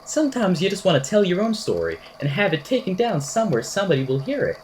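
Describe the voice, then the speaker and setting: A woman's voice: fairly low pitch, big pitch swings, quick pace, a little mellow, a little hiss or hum. A woman playing an eccentric, whimsical podcaster in an amateur audio drama, telling a strange tale in a verbose, wry, theatrical way. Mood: grandly whimsical. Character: eccentric, whimsical podcaster recounting a supernatural statement.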